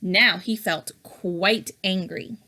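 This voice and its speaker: A woman educator reading a picture book aloud to young children, with deliberate phrasing and dramatic emphasis on repeated lines. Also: mid-range voice, bright, forceful tone. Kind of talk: expressive storybook read-aloud for young children.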